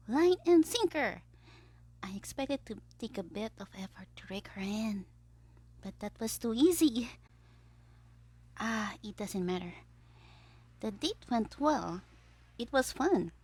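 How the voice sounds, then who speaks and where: A woman's voice: on the high side, darkish tone, dry recording, exaggerated voice. A woman playing a smug, theatrical young supervillain who schemes playfully in an audio roleplay diary. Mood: smug, gloating. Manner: theatrical, playful, self-satisfied, drifting into conspiratorial whispers.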